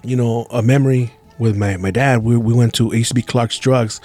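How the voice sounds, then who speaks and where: A man's voice: medium pitch, steady tone, brisk, darkish tone, faint music behind. A man in his forties who grew up in the Los Angeles area with Spanish as his first language, co-hosting a podcast in blunt, profane, rambling chat. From Los Angeles area, California. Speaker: podcast co-host. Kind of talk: casual chat.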